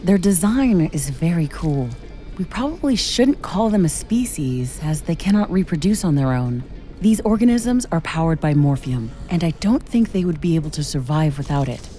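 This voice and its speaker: A woman's voice: deep voice, animated, moderate pace, mellow, faint music behind. A woman playing a determined scientist-commando in a video game, speaking in a composed, matter-of-fact, analytical way. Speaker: game protagonist. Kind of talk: scripted video game dialogue.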